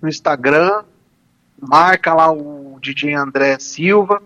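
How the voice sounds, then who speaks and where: A man's voice: a little high, slow pace, bright, forceful tone, dull audio. A man, a law professor and radio guest, talking informally with hesitant restarts. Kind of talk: casual radio chat.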